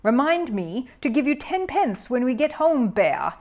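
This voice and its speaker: A woman's voice: sits high, big pitch swings, measured pace, darkish tone, pitched above the performer's own voice, exaggerated voice. A woman reading a storybook as a grumpy, bossy, short-tempered male golfer with a blustering, growling manner. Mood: boastful, loud.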